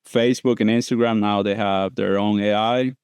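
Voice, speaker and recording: A man's voice: lowish voice, fairly even intonation, normal speed, a little mellow, clean tone, no echo, studio quality. A man from Costa Rica, a dentist guest on a podcast, explaining things casually with loose grammar and many fillers. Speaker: guest prosthodontist.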